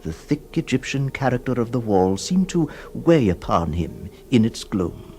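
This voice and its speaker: A man playing a troubled, compassionate lawyer who narrates in solemn, halting, literary phrases for a radio drama. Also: medium pitch, very animated, darkish tone. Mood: hushed sorrow. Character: troubled, compassionate male lawyer recounting a sad history.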